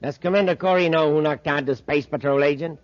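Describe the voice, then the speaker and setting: Actor as bossy space gangster, muffled radio. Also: male voice, on the high side, a little fast, no echo, close to an everyday voice. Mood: menacing, impatient. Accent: American. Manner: threatening, bossy. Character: menacing male gang boss's henchman, impatient schemer. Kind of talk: 1950s radio serial acting. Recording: dull, dry 1950s radio recording.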